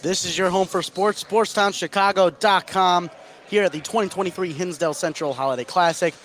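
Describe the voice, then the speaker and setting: A man calling live high school basketball on the radio as play-by-play commentator, getting excited on big plays. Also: brisk. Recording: gym noise behind the voice. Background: gym ambience, bouncing basketball.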